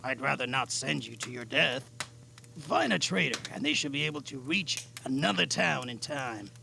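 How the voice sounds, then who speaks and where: A man playing a weary, cautious older mentor in an amateur audio drama, speaking in a measured, grave, gravelly way. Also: mid-range voice, animated. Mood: urgent, concerned. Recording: noisy amateur mic with clicks.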